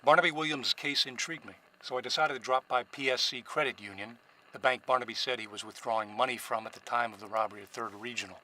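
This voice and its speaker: A cool, unruffled private detective, acted by a man in an old-style noir radio play. He speaks at a middling pitch with clipped, businesslike phrasing, over a clearly noisy recording. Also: fast talker, pushed and bright. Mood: cool, narrating. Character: cool, curt male private investigator, narrating his case. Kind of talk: 1940s noir radio drama acting and narration.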